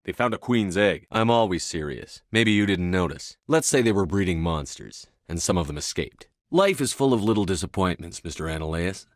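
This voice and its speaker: A man's voice: sits low, expressive, normal speed, few pauses, no echo, clean studio sound. A man playing a stern, commanding space soldier hero in a video game, speaking in a terse, controlled, authoritative way. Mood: dry, firm. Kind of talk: video game dialogue acting.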